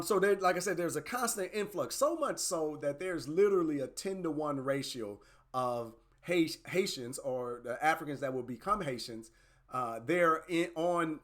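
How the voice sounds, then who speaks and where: A man's voice: on the high side, expressive, moderate pace, fairly bright tone. Casual man explaining history, "right?" tags. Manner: frequent "right?" tags and filler restarts. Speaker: podcast host.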